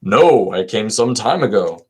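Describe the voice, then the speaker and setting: Male reader as elderly Athenian companion: deep, earnest, worried, formal storybook-dialogue delivery. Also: lively intonation, measured pace, darkish tone, slightly rough.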